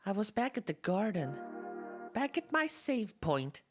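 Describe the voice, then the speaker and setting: A woman's voice: medium pitch, sing-song, a little slow. A woman voicing a soulless, bored talking flower in a dramatic game fan dub, confiding and theatrical, swinging between wistful and menacing. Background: game soundtrack music.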